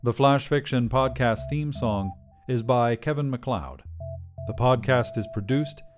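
A man's voice: mid-range voice, a little slow, over music. A man narrating scripted short fiction as the host of a story podcast.

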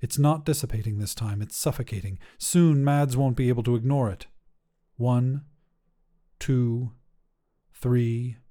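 Slow, mellow male story narrator. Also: medium pitch. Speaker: narrator of a fiction podcast. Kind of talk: scripted short story narration.

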